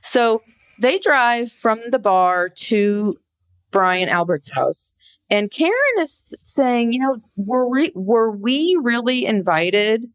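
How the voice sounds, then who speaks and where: A woman co-hosting a podcast, explaining a case conversationally in an informal, halting storytelling way. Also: a little high, expressive, no room sound, dull audio. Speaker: podcast co-host. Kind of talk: conversational true-crime case discussion.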